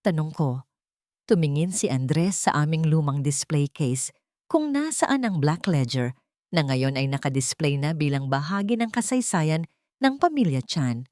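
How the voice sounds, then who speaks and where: Woman narrating, notably low-pitched and dry. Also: sing-song, measured pace, darkish tone, no echo. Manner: earnest, sentimental, formal. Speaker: storyteller and channel host. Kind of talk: scripted dramatic story narration.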